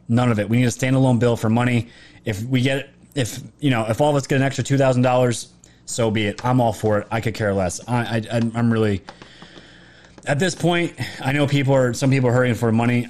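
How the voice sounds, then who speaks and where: A man talks on a livestream in a voice of middling pitch that is going hoarse from a sore throat. He rambles and doubles back, restarting phrases and leaning hard on words for emphasis. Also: a little mellow. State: throat sore, losing his voice. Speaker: political livestream commentator. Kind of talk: casual livestream monologue.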